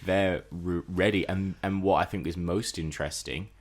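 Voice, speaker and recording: A man's voice: deep voice, fairly even intonation, normal speed. A man talking as a podcast co-host in a casual, reflective and analytical way about film and TV.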